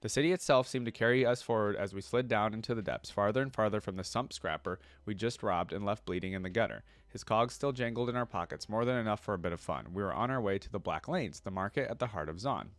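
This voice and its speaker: Guy w a lowish voice reading lore out loud n chatting about it, super dry close mic. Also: quick pace, hardly any pauses, no room sound. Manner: casual, breaks off from reading to think aloud. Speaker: reaction video host. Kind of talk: reading a story aloud with casual reaction commentary.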